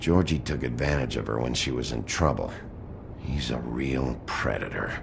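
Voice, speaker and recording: Gruff male detective, rock-bottom pitch, terse, authoritative, noir video game, noticeable background noise. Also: normal speed, exaggerated voice. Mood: dry, grim. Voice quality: gravelly. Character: gruff, brooding wolf detective in human form.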